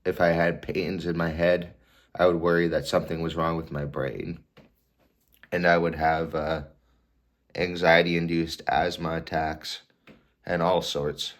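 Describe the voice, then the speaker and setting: A man in his twenties with a congested voice from a cold, calmly giving casual advice to the camera in an unhurried way, with fillers and restarts. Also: deep voice. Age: 20s. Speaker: vlogger sharing mental health tips.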